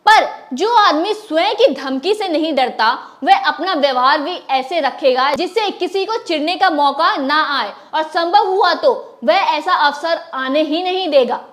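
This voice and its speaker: High-pitched woman reading news commentary. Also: animated, unhurried, few pauses, fairly bright tone. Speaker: news presenter. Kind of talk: scripted news commentary read aloud.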